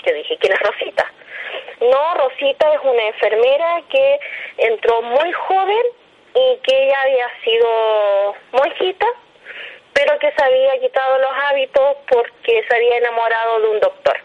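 Chilean lady telling her own story in an interview, kinda high voice, muffled lo-fi audio. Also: expressive, normal speed. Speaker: interviewed hospital night-shift worker. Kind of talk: recounting a personal experience in an interview. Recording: dull, lo-fi recorded interview.

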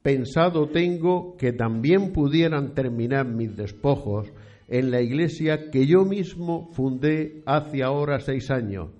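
A man's voice: medium pitch, darkish tone, dull audio, natural voice. A man playing a frail, devout old priest near death in a radio drama, speaking solemnly in archaic, declamatory phrases. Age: older.

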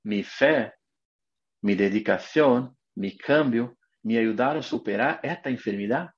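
A man's voice: medium pitch, measured pace, lo-fi sound. Earnest Brazilian man speaking Spanish. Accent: Brazilian Portuguese. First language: Portuguese. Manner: earnest, motivational, speaks in short emphatic phrases. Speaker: naturopath guest. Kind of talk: video interview.

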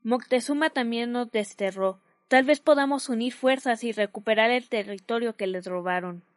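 Mujer haciendo de explorador chino, voz algo aguda. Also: female voice, a little high, normal speed, no echo, close to an everyday voice. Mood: calculating, persuasive. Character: polite, calculating Chinese explorer leading a fleet. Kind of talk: radio drama acting.

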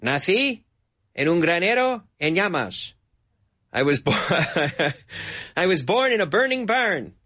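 A Canadian man teaching English in a patient, drilling way, saying each word several times. His voice sits a little higher than most men's. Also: big pitch swings, pushed and bright. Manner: slow, repeats words for drilling. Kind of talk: bilingual English lesson.

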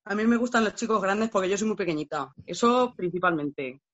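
A woman's voice: medium pitch, lively intonation, a little fast, a bit bright. Candid young Castilian woman, slightly muffled. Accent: Castilian Spanish. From La Mancha, Spain. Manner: candid, colloquial. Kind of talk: casual chat.

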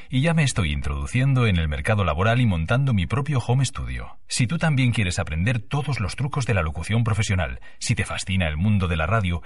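A man with a Spanish accent and a husky voice reading a scripted radio promo as a voice-over student. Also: lowish voice, dry recording, distant mic.

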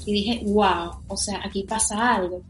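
A woman's voice: medium pitch, a little slow, faint music behind. A young Venezuelan woman with a Venezuelan accent, chatting enthusiastically as a guest on a podcast, with lots of fillers. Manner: enthusiastic, many fillers, animated. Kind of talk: casual podcast interview.

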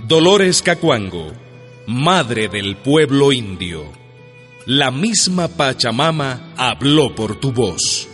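A man's voice: medium pitch, very animated, takes it slowly, a bit bright, faint music behind. A man narrating a radio drama as a solemn, reverent storyteller. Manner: solemn, declamatory.

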